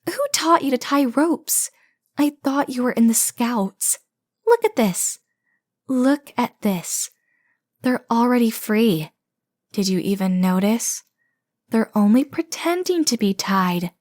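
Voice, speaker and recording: A woman acts out a lovesick comic kidnapper sister in an audio roleplay. Her voice is fairly high and recorded very dry. She rambles theatrically, indignant and proud of her own obsession. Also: dry recording.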